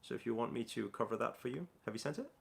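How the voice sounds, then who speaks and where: A man's voice: medium pitch, lively intonation, racing, gravelly. A man from Newcastle hosting a casual livestream Q&A, reading viewer comments aloud and chatting loosely with plenty of fillers and restarts. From Newcastle, England. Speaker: livestream host.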